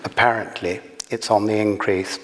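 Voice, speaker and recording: A man's voice: mid-range voice, normal speed, close to an everyday voice. An English man voicing a haughty, disapproving princess in a satirical novel, pompous and imperious. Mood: enigmatic. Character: haughty, silly, disapproving princess, grand and humourless. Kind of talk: satirical novel read aloud. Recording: echoey hall, clear background noise.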